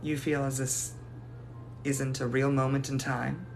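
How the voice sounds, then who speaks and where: A man's voice: mid-range voice, flat delivery, unhurried, faint music behind, lightly acted. A man playing a genteel lovelorn ghost lady. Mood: gracious. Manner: formal, old-fashioned and gracious. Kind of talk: improvised live roleplay answering viewers.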